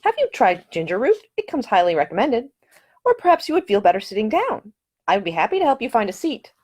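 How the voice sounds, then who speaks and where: Chatty young English gentlewoman voiced by a female narrator: raised pitch, very animated, cheerful, solicitous, audiobook romance. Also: fast. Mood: concerned. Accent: English, upper-class. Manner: eager, solicitous, talkative.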